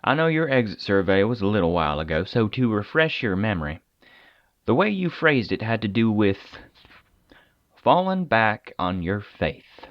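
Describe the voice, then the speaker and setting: An actor playing a weary, persuasive government agent in an audio drama, talking conversationally with deliberate dramatic pauses. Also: male voice, lowish voice, very animated, dry recording, muffled recording. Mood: earnest, probing. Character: weary, persuasive male agent of a secret containment agency.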